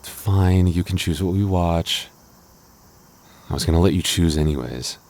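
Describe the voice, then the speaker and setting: A man's voice: low-pitched, a little slow, darkish tone. A man playing a clingy, jealous boyfriend in an intimate audio roleplay, stammering and mock-indignant but affectionate. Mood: playfully grumbling.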